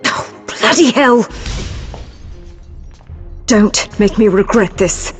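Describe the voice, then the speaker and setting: Casting: cool British secret agent for a game cutscene, determined, brisk, medium pitch, clear score underneath. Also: female voice. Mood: tense, resolute. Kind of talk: video game cutscene acting. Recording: loud music behind the voice.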